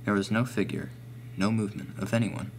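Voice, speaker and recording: A man reading a scripted first-person horror story in a deliberate, ominous manner. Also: lowish voice. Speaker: narrator. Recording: faint hum behind voice.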